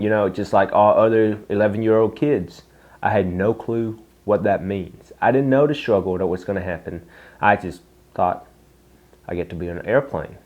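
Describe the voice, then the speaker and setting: A man's voice: fairly low pitch, a little mellow, highs cut off. Earnest young man with a Vietnamese-Southern accent. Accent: Vietnamese with Canadian and Southern US English. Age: young adult. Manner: earnest, reflective, with emphatic slow stresses. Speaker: vlogger. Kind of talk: personal storytelling to camera.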